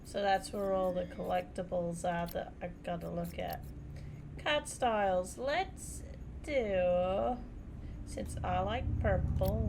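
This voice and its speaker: A woman thinks aloud in casual, informal commentary while playing a game. Her voice has a creaky, fried edge at a middling pitch, and there is clear noise and game ambience behind her. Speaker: gamer playing through a video game. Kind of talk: casual let's play commentary.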